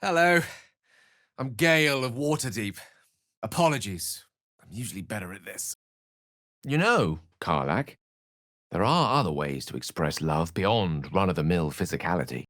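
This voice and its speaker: An actor playing an eloquent, courteous and wry wizard in a fantasy video game, with a British accent and articulate, theatrical phrasing. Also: male voice, deep voice, sing-song, moderate pace, pauses often, fairly bright tone, no echo, clean studio sound. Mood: wry, genial. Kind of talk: dramatic fantasy game dialogue.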